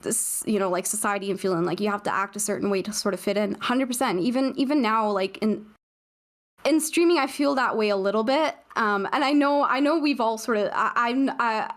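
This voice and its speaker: A woman's voice: fairly high pitch, fairly quick. A young woman from Canada, a guest on a streaming podcast, talking casually and rambling with many fillers and restarts.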